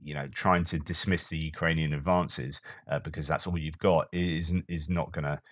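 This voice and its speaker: A man's voice: extremely low pitch, fairly even intonation, moderate pace, dry recording. A man commenting on war news in a casual, thinking-aloud way, with frequent fillers and restarts.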